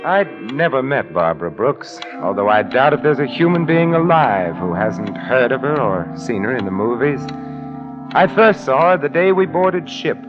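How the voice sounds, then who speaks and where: Actor as suave, expressive 1940s radio adventurer. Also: male voice, mid-range voice, normal speed, natural voice. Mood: reflective. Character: suave, witty gentleman crime-solving adventurer. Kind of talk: 1940s radio drama acting. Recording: dull 1940s radio recording, faint music.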